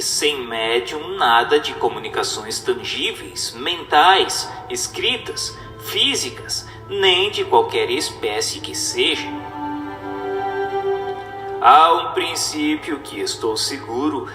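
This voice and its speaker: Male narrator, slow and didactic, oratorical delivery in an echoey hall sound with music behind. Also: a little high, pushed and bright. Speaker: narrator reading a spiritist text. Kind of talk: dramatized scripted reading, oratorical.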